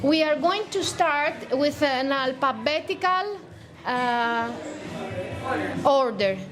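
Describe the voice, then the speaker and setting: A woman moderating a roundtable, speaking slowly with many pauses and fillers, her first language Greek. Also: high voice, animated. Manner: slow and halting, many pauses. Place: cigar bar, live event.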